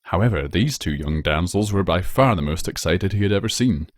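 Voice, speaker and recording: A man reads a story as its narrator. His voice sits on the low side and moves expressively with the prose. The recording is dry, with no room sound. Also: animated, fairly quick, no room sound. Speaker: narrator reading fan fiction.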